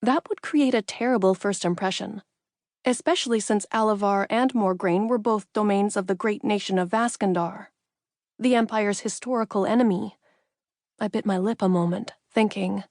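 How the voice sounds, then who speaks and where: A woman's voice: mid-range voice, normal speed, a little mellow, no room sound. Woman narrating fantasy, close dry sound. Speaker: audiobook narrator. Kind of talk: scripted first-person fantasy narration.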